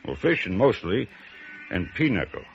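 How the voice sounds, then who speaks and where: Folksy american carny guy, lowish voice, nosy and hesitant, dull old radio with some hiss. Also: big pitch swings, normal speed, vocal fry. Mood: guarded, chatty. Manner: hesitant, chatty, colloquial. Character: folksy, curious male pier carnival-booth operator. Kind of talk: 1950s radio drama acting.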